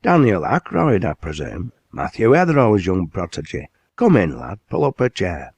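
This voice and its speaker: A man voicing a blunt, hearty older male factory owner with a broad Lancashire dialect, in a commanding, brisk manner. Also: deep voice, big pitch swings, creaky, a little mellow, no room sound, exaggerated voice.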